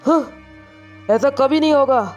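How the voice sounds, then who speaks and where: A woman's voice: sits high, unhurried, a little mellow, highs cut off, faint music behind, pitched above the performer's own voice. A woman voicing a stern old grandfather in a dramatised story, indignant and commanding. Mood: angry, forbidding.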